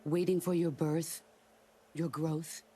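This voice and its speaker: An actress playing a stern, middle-aged veteran soldier and mentor, speaking in a calm, measured, solemn way in a game cutscene. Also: female voice, deep voice.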